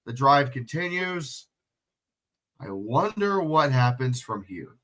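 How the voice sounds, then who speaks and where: A man's voice: mid-range voice, big pitch swings, slow pace, fairly bright tone. Sniffly sick guy calling football casually. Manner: swearing, chatty, answering chat questions. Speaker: livestream play-by-play commentator. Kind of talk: casual solo play-by-play commentary.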